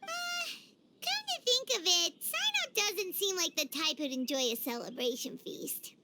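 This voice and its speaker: Tiny perky fairy sidekick for a game, extremely high and squeaky, very animated, music underneath. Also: female voice, measured pace, very noisy, full character voice. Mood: curious, puzzled. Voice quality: squeaky, childlike. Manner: perky, refers to self in third person. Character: tiny, chirpy, childlike female fairy companion. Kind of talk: animated video game dub. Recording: music behind the voice.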